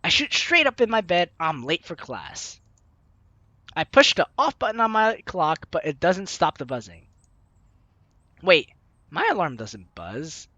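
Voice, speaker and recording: A woman's voice: fairly low pitch, very animated, unhurried, pauses often, fairly bright tone, dry recording, dull audio. A young woman hosting a game playthrough, reading the story aloud expressively and commenting as she goes, close on the mic. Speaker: let's play host.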